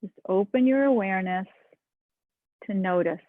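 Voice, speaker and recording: A woman leading a guided meditation and breathing practice, speaking softly and soothingly. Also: medium pitch, dry recording. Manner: slow, soft and soothing. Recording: dry, phone-like sound.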